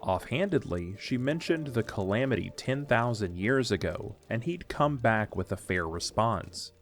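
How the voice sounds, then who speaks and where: A man's voice: lowish voice, animated, a little slow, soft background music. A man reading scripted narration for an audio drama, telling a story in the third person.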